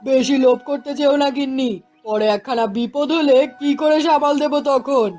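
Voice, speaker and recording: A woman's voice: sits high, fairly even intonation, normal speed, a little mellow, faint music behind, natural voice. A woman voicing a poor, anxious village fisherman who exclaims and laments in a cartoon fairy tale. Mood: worried, cautious. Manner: talking to himself, exclaiming and lamenting.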